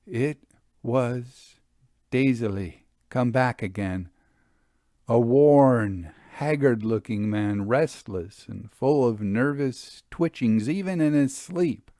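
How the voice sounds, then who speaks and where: A man reading an old-fashioned ghost story in a deliberate, theatrical, suspenseful way, with dramatic pauses. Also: mid-range voice, mellow, dry recording, studio quality. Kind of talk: dramatic audiobook narration of a Victorian ghost story.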